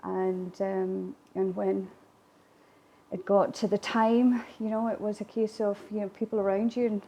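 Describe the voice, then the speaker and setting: Woman w irish gaelic as first language, bit of vocal fry, interview answers, pauses mid sentence while thinking. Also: medium pitch, level tone, mellow, slight background noise, low-quality recording. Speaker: whisky distillery visitor attraction manager. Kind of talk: interview, recounting a business launch.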